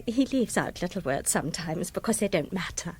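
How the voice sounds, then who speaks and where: An actress playing an excitable, effusive English society lady in a radio comedy, with an upper-class accent and a confiding, emphatic manner. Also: on the low side, fairly quick, breathy edge. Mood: gushing. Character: gushing, excitable society lady besotted with her guru. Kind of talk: British radio comedy drama acting.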